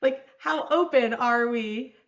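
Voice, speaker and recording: A woman in her forties co-hosting a podcast, talking in a reflective, animated way about self-awareness. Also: on the high side, takes it slowly, highs cut off. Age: 40s. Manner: reflective, animated storytelling with laughs. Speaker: podcast co-host. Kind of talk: casual conversation. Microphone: video call.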